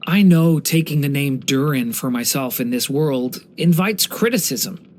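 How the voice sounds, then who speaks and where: A man's voice: medium pitch, lively intonation, unhurried, lightly acted. Guy doing a sweet earnest dragon boy from a video game, polite, kinda hesitant, newly grown up. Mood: earnest, reflective. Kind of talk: video game character voice lines.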